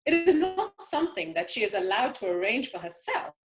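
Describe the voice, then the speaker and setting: Woman playing an imperious mother, high animated voice. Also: a little fast, loud music behind the voice. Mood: scandalized, stern. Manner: commanding, haughty, emphatic. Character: imperious, disapproving upper-class mother. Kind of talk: amateur play read-through. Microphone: video call.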